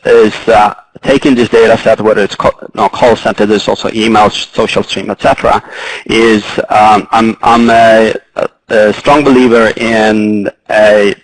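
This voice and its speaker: A man with a Swedish accent speaking as a panelist, halting and full of fillers and restarts. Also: on the low side, little pitch movement, no echo, low-quality recording. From Sweden. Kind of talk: panel discussion.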